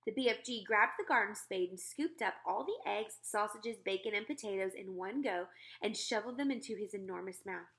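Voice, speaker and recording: A woman narrating a children's storybook aloud in an expressive read-aloud style. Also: mid-range voice, fairly quick. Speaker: storybook reader.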